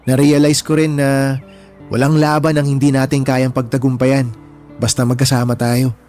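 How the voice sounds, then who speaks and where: A man's voice: mid-range voice, little pitch movement, moderate pace, a little mellow, soft background music, lightly acted. A man playing an affectionate, boyish younger brother in a Filipino radio drama, earnest, with a Filipino accent. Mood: sentimental.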